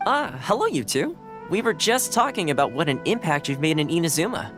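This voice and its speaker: An actor playing a friendly, even-tempered young retainer who calmly explains matters in a game scene. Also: male voice, on the high side, brisk. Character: friendly young male retainer, easygoing diplomat. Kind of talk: game cutscene voice acting. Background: game music.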